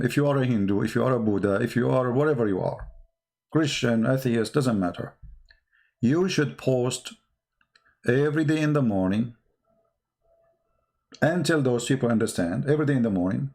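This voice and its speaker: Arabic accented guy ranting on a livestream, creaky voice, blunt and combative, broken english. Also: medium pitch, darkish tone. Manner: blunt, combative, halting non-native English. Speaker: livestream host, religious polemicist. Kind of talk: casual livestream monologue answering chat comments.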